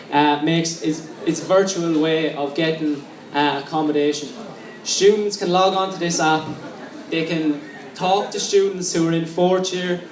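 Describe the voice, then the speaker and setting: A young man giving an earnest campaign speech, full of ums and uhs. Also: on the high side. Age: young adult. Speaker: student election candidate. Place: lecture room with an audience. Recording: echoey room, mic at a distance, crowd noise.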